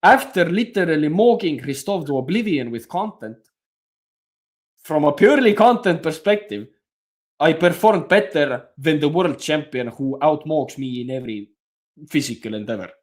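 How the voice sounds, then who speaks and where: A man's voice: a little high, animated, normal speed. A young man, hosting a livestream and telling his story in frank, casual talk that swears freely. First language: Estonian. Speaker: livestream host.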